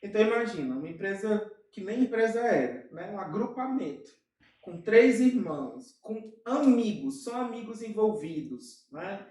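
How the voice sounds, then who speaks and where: A man with a Brazilian Portuguese accent, a guest in an interview, telling his story in an animated way with many asides. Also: high voice, recorded from a distance. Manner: animated, with frequent fillers and quick asides. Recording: echoey room, off-mic.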